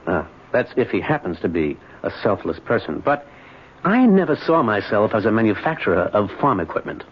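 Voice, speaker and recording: A man's voice: lowish voice, big pitch swings, brisk, breathy edge. An actor playing a weary, evasive middle-aged businessman in an old-time radio drama, speaking in a hesitant, pleading way. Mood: weary, resolute. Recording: muffled, noisy vintage radio recording.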